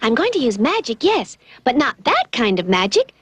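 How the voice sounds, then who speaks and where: A woman's voice: on the high side, very animated, normal speed, a bit bright, breathy edge, heavily acted. A woman playing a cheerful, whimsical magical schoolteacher in a cartoon, theatrical and encouraging. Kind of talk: 1970s cartoon voice acting. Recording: music behind the voice.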